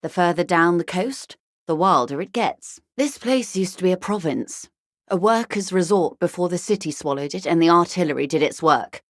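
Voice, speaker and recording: A woman's voice: fairly low pitch, lively intonation, moderate pace, dry recording, clean studio sound, natural voice. An actress playing a wealthy, worldly older woman in a video game, articulate, arch and wryly condescending. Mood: wry, informative. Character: wealthy, worldly older woman, urbane, wry, intellectually vain. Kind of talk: video game character dialogue.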